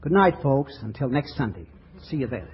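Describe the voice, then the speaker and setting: A man's voice: medium pitch, very animated, moderate pace. A man hosting a radio show in a 1940s presenting style. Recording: dull, noisy 1940s radio recording.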